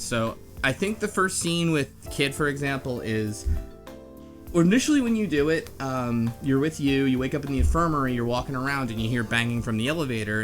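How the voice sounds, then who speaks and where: A man's voice: medium pitch, fairly bright tone. A man hosting a casual book club chat, talking in a rambling, blunt and slangy conversational way. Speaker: podcast host. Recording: music behind the voice. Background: background music.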